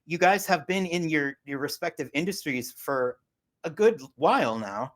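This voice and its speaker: A woman's voice: low voice, expressive, moderate pace, a little hiss or hum. A woman in her forties hosting a casual interview, rambling and chatty with lots of fillers. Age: 40s. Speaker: host.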